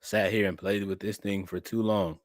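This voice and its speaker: A young man, talking to his livestream chat in an animated, preacherly way. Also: lowish voice, moderate pace, no room sound, clean studio sound. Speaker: streamer and podcast host.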